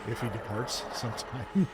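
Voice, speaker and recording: A man's voice: medium pitch, a little slow. A middle-aged man chatting casually as he co-hosts a live game stream. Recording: loud steady background noise.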